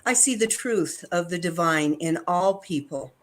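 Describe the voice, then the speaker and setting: A woman reads devotional material aloud as a service reader. Her voice is low, and she takes a slow, measured pace. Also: lively intonation, a loud crowd. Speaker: affirmations and scripture reader.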